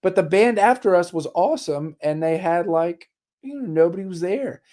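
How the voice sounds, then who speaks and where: Mellow-voiced man, voice a bit worn. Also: high voice, expressive, normal speed. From Richmond, Virginia. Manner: candid, wry, matter-of-fact. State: slightly losing his voice. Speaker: musician guest. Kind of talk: casual interview chat.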